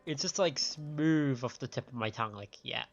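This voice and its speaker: A man's voice: medium pitch, moderate pace, dull audio. A man hosting a live game stream with casual, joking, chatty commentary. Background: faint game music.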